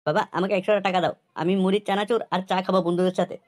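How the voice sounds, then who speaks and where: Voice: mid-range voice, flat delivery, racing, natural voice. A man voicing a cheeky, demanding young son in a comic cartoon.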